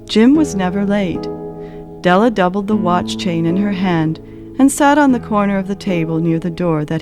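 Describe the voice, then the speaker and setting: A woman narrating a classic story in an expressive, measured and dramatic scripted style. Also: on the low side. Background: acoustic guitar music.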